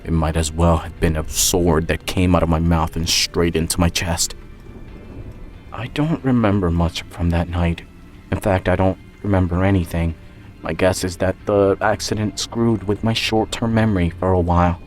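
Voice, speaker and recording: A man with a low-pitched voice narrates a first-person audio story. His delivery is scripted and dramatic, and music plays behind him. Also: steady tone, moderate pace. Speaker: author-narrator.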